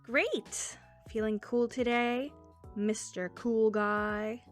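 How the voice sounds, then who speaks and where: Casting: a woman as an upbeat, quirky teen girl for a web cartoon dub; fairly high voice, airy and teasing, music underneath. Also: steady tone, drawn-out words. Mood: playful, teasing. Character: cheerful, quirky teenage girl chatting with a friend. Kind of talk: fan dub voice acting.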